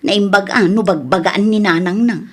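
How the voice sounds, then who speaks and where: A woman's voice: mid-range voice, normal speed, natural voice. A woman playing a nosy, chatty villager in a comedy radio drama, with an Ilocano accent. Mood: prying, opinionated.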